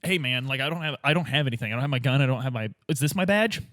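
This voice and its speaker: A man's voice: medium pitch, animated, racing, a bit bright, dry recording, clean studio sound. A man playing a tabletop game, chatting informally and asking questions. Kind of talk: casual chat.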